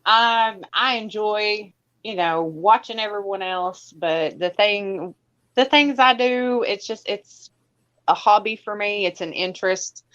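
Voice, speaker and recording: A soft-spoken woman guest in a casual conversation, answering agreeably. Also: mid-range voice, slow pace. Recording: dull lo-fi sound.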